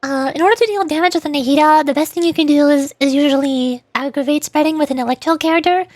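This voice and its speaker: High-voiced woman streaming games, close dry sound. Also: quick pace, a little mellow, dry recording. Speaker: streamer playing a game. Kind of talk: casual gaming livestream commentary.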